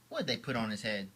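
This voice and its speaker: A man reading a children's story aloud as a father, with theatrical, drawn-out emphasis and chatty asides. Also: medium pitch, sharp tone, a little hiss or hum.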